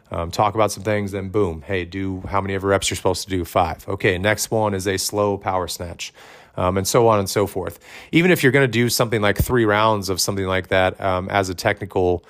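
Male fitness coach, 30s, fairly low and loud voice, casual podcast advice full of fillers. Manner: conversational, many fillers, says his voice is loud. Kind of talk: instructional podcast talk.

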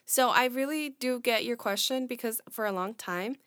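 A woman's voice: on the high side, moderate pace, a bit bright, no echo, studio quality. Rambling woman, Spanish first language. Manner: rambling, hesitant, repeats "I don't know". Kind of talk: casual chat.

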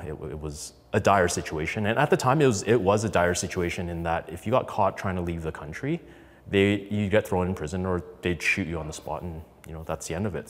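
Podcast guest: man, thirties, low voice, conversational storyteller, long reverb. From Canada, raised in Calgary from infancy. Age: 30s. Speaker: podcast guest, business co-founder. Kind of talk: candid personal storytelling in an interview.

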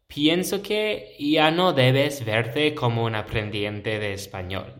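Unhurried American male podcast host, non-native speaker, thoughtful and conversational. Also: fairly low pitch. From United States. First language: English. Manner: reflective, explains points in a measured, conversational way. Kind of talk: solo educational podcast.

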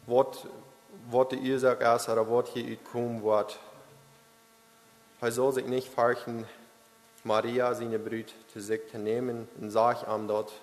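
A man giving a Christmas sermon, reading verses and explaining them haltingly, with frequent hesitations. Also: medium pitch. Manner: halting, with many restarts and hesitations. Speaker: lay preacher. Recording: long reverb of a hall.

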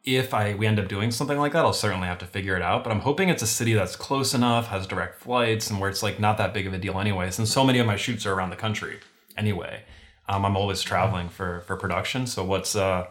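A man who grew up in Venezuela, a podcast guest talking casually over a video call in a relaxed, rambling way. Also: lowish voice, quick pace. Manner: relaxed, rambling with fillers and restarts.

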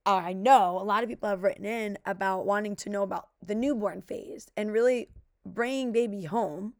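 Raspy young woman co-host; casual and chatty, recorded dry and close. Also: mid-range voice, normal speed, dry recording. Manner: chatty, many "like" fillers. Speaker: podcast co-host. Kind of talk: casual chat.

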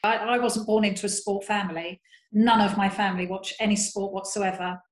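A woman's voice: mid-range voice, fairly even intonation, brisk, reverberant. A woman fan telling chatty, amused anecdotes in casual conversation. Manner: chatty, amused, laughing between stories. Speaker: fan guest.

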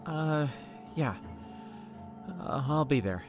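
A man's voice: a little high, lively intonation, slow, faint music behind. An actor playing an earnest, insecure young man in an audio drama, speaking hesitantly and wearing his heart on his sleeve. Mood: hurt, subdued. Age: young adult.

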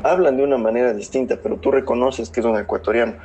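A man's voice: mid-range voice, fairly even intonation, quick pace, a little mellow, a little hiss or hum. A young man from Ecuador with a southern Ecuadorian Spanish accent, a guest in a casual podcast conversation who explains earnestly with many examples. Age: young adult. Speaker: guest economist.

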